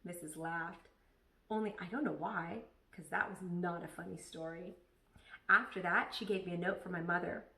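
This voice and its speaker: A woman's voice: fairly low pitch, fairly even intonation, normal speed, sharp tone, recorded from a distance, a little hiss or hum. A woman narrating a children's story expressively, like a teacher reading aloud to pupils. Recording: echoey room.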